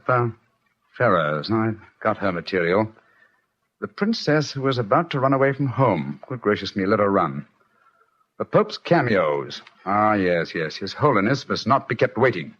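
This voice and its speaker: An actor playing a brisk, self-assured English detective with an urbane, theatrical British delivery in 1940s radio style. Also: male voice, on the low side, lively intonation, fairly bright tone, husky. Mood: brisk, amused. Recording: dull vintage radio recording.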